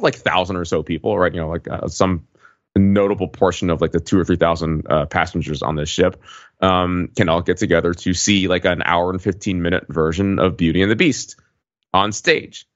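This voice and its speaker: A man on a podcast casually recounting an experience in a wordy, halting way, often correcting himself. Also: sits low, a bit bright. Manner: wordy, halting delivery with frequent hedging and self-corrections. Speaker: podcast regular recounting a trip. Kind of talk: casual chat, rambling storytelling. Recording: dull lo-fi, roomy echo.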